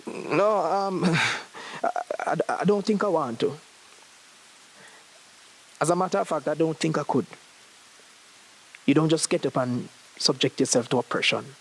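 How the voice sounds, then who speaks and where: A man's voice: a little high, unhurried, long pauses, slight background noise, rough recording. A man voicing a nervous, hesitant but principled educated Rastafarian man in a short story reading. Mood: nervous, resisting. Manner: hesitant, stammering.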